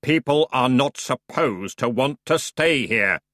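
A man's voice: lowish voice, lively intonation, slow, a bit bright, dry recording, studio quality. A man voicing a weary, strict male ferryman of the underworld in a storybook, speaking in a stern, grudging, exasperated manner.

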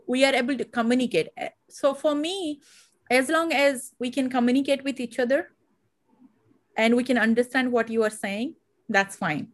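Interview host, female, Indian accent, fairly high pitch, halting and full of restarts. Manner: hesitant, many fillers and restarts. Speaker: podcast host. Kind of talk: conversational interview.

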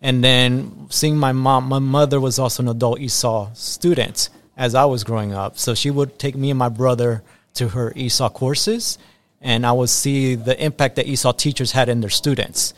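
An earnest man in his thirties, originally from Ecuador, answers interview questions on a school podcast. He speaks at an ordinary pitch for a man, repeating phrases and filling pauses with ums. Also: fairly even intonation. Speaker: assistant principal.

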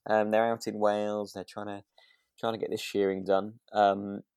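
A man's voice: lowish voice, level tone, normal speed, darkish tone, clear, resonant tone, no echo, clean studio sound. A man co-hosting a podcast, chatting casually and agreeably about a radio drama, thinking aloud in loose sentences. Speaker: podcast co-host.

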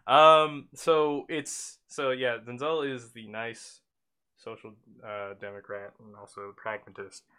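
A man's voice: medium pitch, flat delivery, slow, pushed and bright, clean tone. A young man from Oakland co-hosting a podcast, talking casually in loose, rambling sentences full of fillers and restarts. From Oakland, California. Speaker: podcast co-host.

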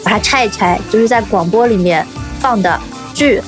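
A woman hosting a podcast in casual chat, animated, giggly and teasing, close on the mic. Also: mid-range voice, moderate pace, over music. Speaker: podcast host. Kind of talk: casual chat, introducing a guest.

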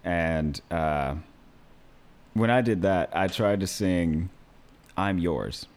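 A tipsy young man co-hosting a podcast, talking in a relaxed way with laughs and swearing. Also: low voice, slow. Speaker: podcast co-host. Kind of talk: casual drunken chat.

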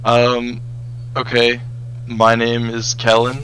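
A man's voice: mid-range voice, completely flat delivery, slow pace, a bit bright. A young man co-hosting a casual group podcast over a voice call. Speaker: podcast co-host. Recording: humming, noisy voice call.

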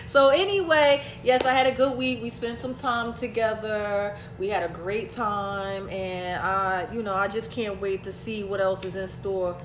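A woman's voice: mid-range voice, fairly even intonation, measured pace, hardly any pauses, echoey room, slight background noise. A woman in her late thirties co-hosting a podcast, talking casually and saying "like" a lot. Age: 30s. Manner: chatty, repeats herself, says "like" a lot. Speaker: podcast co-host.

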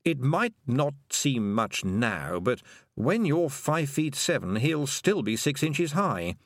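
Casting: male reader as unhurried, grumpy talking toad, deadpan and huffy-proud, very close dry sound. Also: mid-range voice, no echo, pitched above the performer's own voice, heavily acted. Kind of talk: audiobook character acting.

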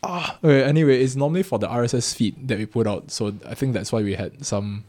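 A man's voice: mid-range voice, quick pace, a little mellow. A man with a Singaporean accent co-hosting a casual podcast, rambling and often correcting himself as he goes. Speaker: podcast co-host.

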